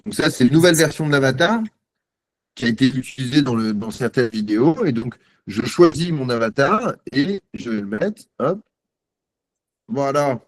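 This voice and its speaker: A man giving a live software demo on a video call, chatty and self-mocking, thinking aloud with many fillers. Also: mid-range voice, lively intonation, moderate pace, dry recording. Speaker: guest presenter.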